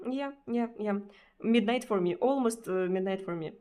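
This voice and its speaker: A woman's voice: mid-range voice, normal speed, dark tone. A Russian woman streamer speaking English with a Russian accent in casual chat, hesitant, with many fillers and repeated words. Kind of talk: casual chat answering viewers.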